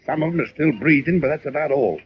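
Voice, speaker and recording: An actor plays a garrulous, wisecracking barkeep from an old radio play. His voice is on the high side and very animated, on a dull recording. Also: fast talker, slight background noise, close to an everyday voice. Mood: folksy, philosophical. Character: folksy male bartender, chatty barroom philosopher. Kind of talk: old-time radio drama acting.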